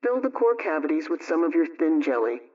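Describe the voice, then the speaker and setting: A man reading a scripted vintage radio homemaking show as host, giving measured recipe instructions. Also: fairly high pitch, very animated. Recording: dull, distant old-radio sound.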